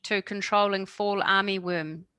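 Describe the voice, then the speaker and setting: Bright-voiced New Zealand woman moderator. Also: mid-range voice, steady tone, measured pace, clean tone, no echo. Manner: encouraging, apologetic, repeats "excellent". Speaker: workshop moderator. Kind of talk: online Q&A moderation.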